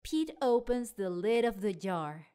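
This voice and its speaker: A woman narrating children's storybooks in an expressive way aimed at young listeners. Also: mid-range voice, a little slow, smooth. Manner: expressive, child-directed delivery. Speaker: storyteller and show host.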